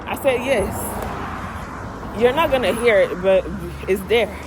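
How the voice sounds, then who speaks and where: A Nigerian woman talks into her phone as she walks down a street, her accent strong. She is animated and blunt and swears without a second thought, with clear traffic noise and cars around her. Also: mid-range voice, slow. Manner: animated, outspoken, swears freely. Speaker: vlogger, medical assistant. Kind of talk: casual vlog chat while walking. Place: outdoors on a residential street. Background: cars and street ambience.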